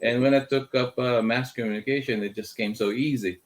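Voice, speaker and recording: A middle-aged man from the Philippines, a guest in a casual interview, talking with many pauses and fillers and slipping Tagalog words into his English. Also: medium pitch, steady tone. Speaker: guest, filmmaker.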